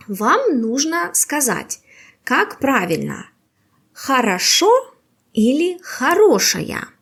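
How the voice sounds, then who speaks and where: A woman teaching a beginner language quiz, with patient, slow and carefully exaggerated pronunciation. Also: mid-range voice, breathy edge.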